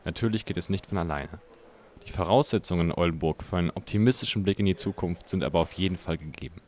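A man's voice: low-pitched, fast, darkish tone. A man reading scripted narration as a news reporter.

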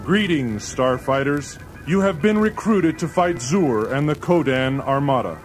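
An actor playing a solemn alien ambassador, formal and declamatory, in a children's storybook recording. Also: male voice, a little high, expressive, measured pace, faint music behind. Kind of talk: children's read-along storybook acting.

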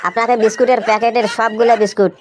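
A woman's voice: a little high, level tone, very fast, a crowd in the background, natural voice. A woman with a Rangpur Bangladeshi accent voicing a sly, argumentative shopkeeper in a comic cartoon, talking like a mock salesman. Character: sly, vengeful shopkeeper with absurd offers.

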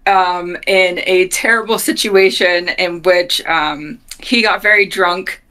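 Bright-voiced young woman, slightly low pitch. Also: steady tone, slow pace. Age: young adult. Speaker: player in a tabletop game. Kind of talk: casual game chat. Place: small home room, video call. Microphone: video call from a desk.

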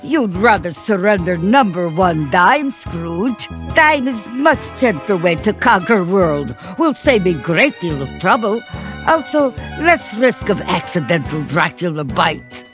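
Theatrical Eastern European sorceress villainess. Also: female voice, lowish voice, very animated, a little slow, over music. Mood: menacing, sly. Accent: put-on Eastern European. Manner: theatrical, cackling villain delivery. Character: scheming female duck sorceress, theatrical and gloating.

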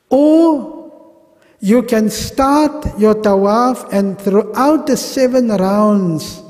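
A middle-aged South African man teaching a pilgrimage class at a mosque podium, animated and gesturing, with humorous asides. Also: sits high. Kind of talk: religious class lecture. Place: large mosque hall. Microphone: gooseneck podium mic. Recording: long reverb of a mosque hall.

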